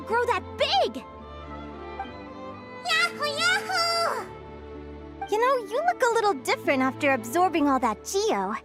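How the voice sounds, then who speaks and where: Squeaky, very high excitable little companion. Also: female voice, measured pace, pauses often, loud music behind the voice, heavily acted. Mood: excited, shrill. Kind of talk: anime-style game voice acting.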